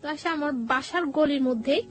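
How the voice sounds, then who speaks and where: A woman with a Bangladeshi accent speaks in a high voice, sharing a personal story as a guest. She goes haltingly and circles back over her phrases. Music plays behind her. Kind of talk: personal storytelling in conversational talk.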